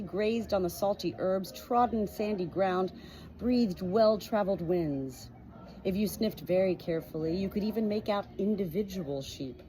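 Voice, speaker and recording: A woman reading a storybook aloud in an expressive amateur style, with casual asides, recorded on a phone at home. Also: mid-range voice, a little hiss or hum, poor audio. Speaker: amateur book reader, channel host.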